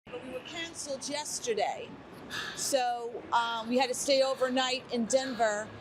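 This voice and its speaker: A woman's voice: high-pitched, normal speed. A woman traveler answering casually in an on-the-spot interview. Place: airport interview. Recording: clear airport background noise.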